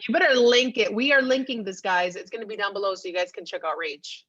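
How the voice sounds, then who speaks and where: A woman's voice: mid-range voice, fast, a bit bright, no room sound, highs cut off. Female podcast co-host, casual and emphatic, cheering her partner on, recorded dry with little room sound. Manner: upbeat, encouraging, emphatic. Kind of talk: casual catch-up podcast chat.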